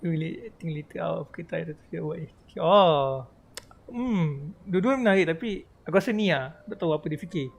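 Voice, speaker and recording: A man's voice: a little high, animated, brisk, creaky. A man with a Malaysian accent giving casual, chatty live gaming commentary as a streamer, explaining things in slangy speech. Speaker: game livestreamer.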